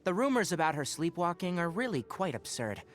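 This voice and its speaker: A man's voice: fairly high pitch, big pitch swings, a little fast. A man voicing an earnest, wry young forest ranger scholar in a video game, speaking in an articulate, thoughtful, caring way. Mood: wry, dismissive. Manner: articulate, thoughtful, dryly opinionated. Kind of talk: scripted game character monologue.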